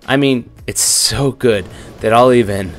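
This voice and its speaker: A young man narrating his cooking vlog with deadpan, irreverent jokes. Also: medium pitch, measured pace, crowd noise. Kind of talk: joking cooking voice-over.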